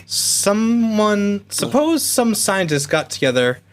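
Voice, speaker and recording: A woman's voice: mid-range voice, big pitch swings, takes it slowly, a little hiss or hum. A woman playing an earnest, tentative junior spaceship officer in a comic radio play, searching for words. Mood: speculating. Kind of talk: cold-read comic radio sci-fi play.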